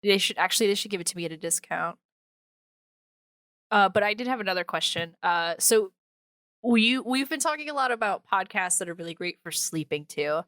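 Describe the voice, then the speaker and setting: A woman's voice: medium pitch, animated, a little fast, a bit bright, no room sound, clean studio sound. A woman hosting a casual podcast, chatting in a playful, conversational way. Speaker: podcast host.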